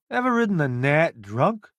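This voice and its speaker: An actor playing a wry, self-promoting food columnist turned space crewmate in a video game, breezy and full of sardonic wisecracks. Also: male voice, a little high, very animated, slow, a bit bright, no room sound, heavily acted.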